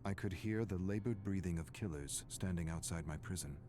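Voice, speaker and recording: An actor playing a grave, weary scholarly archivist with a morbid fascination, narrating dark game records in a deliberate, brooding way. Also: male voice, deep voice, noisy background, close to an everyday voice. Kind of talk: dramatic scripted game narration. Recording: clear music behind the voice.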